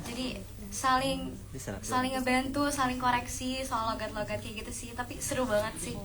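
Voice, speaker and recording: A young actress chatting casually in a press Q&A at a film premiere and slipping in English phrases. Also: female voice, high voice, little pitch movement, moderate pace, a crowd in the background, poor audio. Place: premiere event hall with audience.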